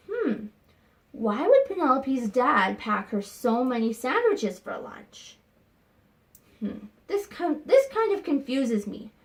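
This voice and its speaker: A woman teacher reading a picture book aloud to young students, expressive and pausing to think aloud with questions for them. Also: a little high. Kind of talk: read-aloud lesson with questions to children.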